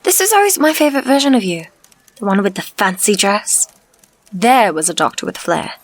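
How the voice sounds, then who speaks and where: A woman's voice: mid-range voice, very animated, moderate pace, a little hiss or hum, close to an everyday voice. An actress in an audio drama playing a feisty, sardonic female companion of a time traveller, teasing and wry.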